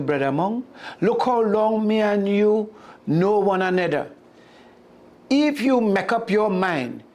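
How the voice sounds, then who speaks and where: A storyteller acts out a male spider trickster from a folk tale, boastful and sly. He coaxes in lively Jamaican patois, pitched high and swooping. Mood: persuasive. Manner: coaxing patois chatter.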